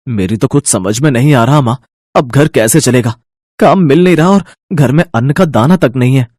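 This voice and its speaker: A man plays a poor young husband from a moral storybook. He sounds troubled and complaining, with a husky voice. The recording is dry. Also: medium pitch, expressive, moderate pace, a little mellow, no room sound, lightly acted. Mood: worried.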